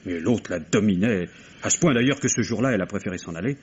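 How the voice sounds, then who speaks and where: An actor playing a distraught, bitter young French lover under suspicion in a 1950s-style radio drama, with hesitant, broken phrasing. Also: male voice, lowish voice, expressive, quick pace, darkish tone, natural voice. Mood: resentful. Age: young adult. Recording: dull, noisy vintage radio recording.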